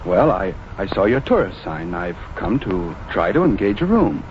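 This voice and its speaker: An actor in a 1940s radio drama playing a nervous, polite young stranger with a hesitant, apologetic manner. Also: male voice, low voice, sing-song, moderate pace. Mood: ingratiating, nervous. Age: young adult. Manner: hesitant, apologetic, over-explaining. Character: nervous, shifty, polite young male stranger seeking lodging. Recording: muffled, noisy vintage radio recording.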